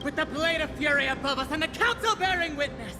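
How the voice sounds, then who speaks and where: A woman's voice: high voice, lively intonation, fast. A woman playing a fierce, defiant warrior queen and grieving mother, speaking in a theatrical, commanding, archaic way for a fantasy game. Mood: defiant, formal. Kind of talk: dramatic fantasy game voice acting. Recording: long hall reverb, music underneath.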